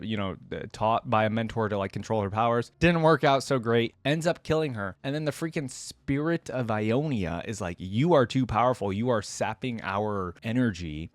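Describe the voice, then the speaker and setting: A man's voice: medium pitch, no echo. A man hosting a reaction video, giving casual, chatty, enthusiastic fan commentary in informal language.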